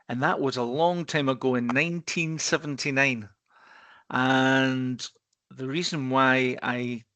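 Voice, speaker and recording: A man's voice: mid-range voice, a bit bright, no echo. Older Scottish man, dry self-mocking storyteller. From Scotland. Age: 60s. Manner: dry self-deprecating humour, hesitant restarts. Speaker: guest, risk partner. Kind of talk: relaxed storytelling interview.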